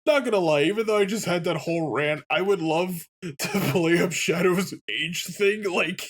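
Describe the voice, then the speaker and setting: A man's voice: high voice, moderate pace. A man co-hosting a let's play, chatting casually and jokily about games. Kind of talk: casual gaming chat.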